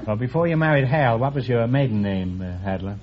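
A man hosting a quiz show, teasing his guests with quick jokes and puns. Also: mid-range voice, animated, over a noisy crowd. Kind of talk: wisecracking quiz show banter. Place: radio studio with live audience. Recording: dull old radio broadcast with hiss. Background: audience laughter.

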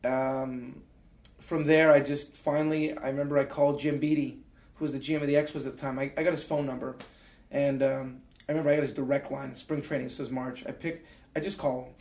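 An earnest man in his forties tells his story with plenty of ums and restarts. His voice sits at an ordinary middle pitch. The room around him rings with a roomy echo. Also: little pitch movement, fairly quick, a little mellow. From Canada. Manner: earnest, many fillers and restarts. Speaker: interview guest. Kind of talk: reflective storytelling.

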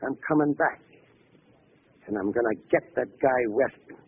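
A man's voice: medium pitch, normal speed, husky. A man playing a defiant, vengeful gangster in 1940s radio acting, with slangy tough-guy talk. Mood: vengeful, bitter. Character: defiant vengeful American gangster facing execution. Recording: tinny, hissy old radio recording.

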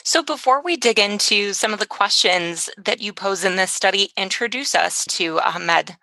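A woman's voice: mid-range voice, animated, normal speed, creaky, pushed and bright, dry recording, poor audio. A woman hosting an interview, putting questions in a conversational style. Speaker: interviewer, host.

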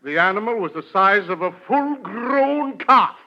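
A man's voice: sits high, lively intonation, a little slow, sharp tone, heavily acted. A man playing a pompous, touchy, boastful middle-aged uncle who blusters in florid phrases in a 1940s radio comedy. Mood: wounded, boastful. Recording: dull vintage 1940s radio recording.

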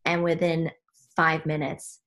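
A woman's voice: low-pitched, flat delivery, slow, a bit bright. A woman from Switzerland, a spiritual teacher, talking earnestly about her path as a podcast guest, often restarting her sentences as she searches for words. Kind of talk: reflective podcast conversation.

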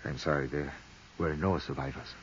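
An actor playing a blunt, harried inspector who speaks haltingly in a husky voice, in 1950s radio drama. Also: male voice, rumbling low, steady tone, slight background noise. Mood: subdued, apologetic. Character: gruff, harried male airline crash investigating official. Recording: muffled 1950s radio recording.

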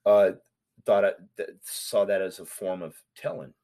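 A man recounting his past in casual street slang, animated and talkative. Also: low-pitched, unhurried. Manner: street slang, animated retelling with acted quotes. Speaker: guest.

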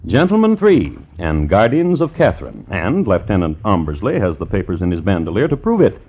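A low-pitched man narrates crime stories for vintage radio. His manner is dry and droll, deliberate yet lively in intonation. The old recording is muffled, with music behind him. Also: a little hiss or hum. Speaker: host and narrator.

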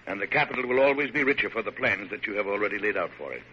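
An actor playing a dignified, kindly but firm older American President, speaking formally and with measure in a classic radio drama. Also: male voice, lowish voice, lively intonation, lightly acted. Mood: regretful. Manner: formal, measured, hesitant at times. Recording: noisy, dull vintage radio recording.

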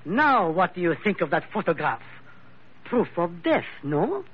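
An actor in an old radio drama playing a suave, suspicious French investigator with a French accent and formal, hesitant, courteous phrasing. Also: male voice, high voice, sing-song, unhurried, breathy edge, full character voice. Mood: explaining. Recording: dull vintage radio recording.

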